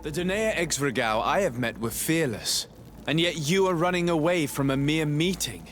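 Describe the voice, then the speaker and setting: An actor playing a composed, persuasive diplomat in a video game, calm, formal and direct. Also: male voice, a little high, moderate pace, faint music behind, close to an everyday voice. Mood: firm, persuasive. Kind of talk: video game dialogue acting. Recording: clear ambient noise behind the voice.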